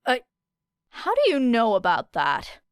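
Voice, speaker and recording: A woman voicing a guarded, sarcastic teenage girl with a dry, deadpan manner in an audiobook. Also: fairly high pitch, measured pace, smooth, no room sound. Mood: defensive, sarcastic. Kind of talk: audiobook character voice.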